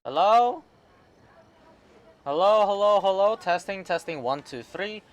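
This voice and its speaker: A man streaming a game, giving casual, halting commentary in short, repetitive reactions. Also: high-pitched, expressive. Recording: game music behind the voice.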